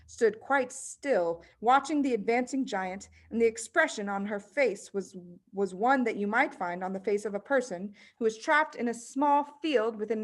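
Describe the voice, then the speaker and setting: Expressive, higher-voiced woman reading a children's story. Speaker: audiobook reader.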